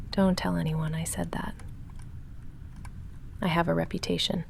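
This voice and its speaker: Woman playing a soft, creaky-voiced awkward vampire roommate. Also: a little hiss or hum. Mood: flustered, softening. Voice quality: soft, breathy whispering mixed with low murmured talk. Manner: halting, deadpan, self-conscious rambling. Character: awkward, flustered young female goth vampire roommate, secretly affectionate. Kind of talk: intimate ASMR roleplay, scripted.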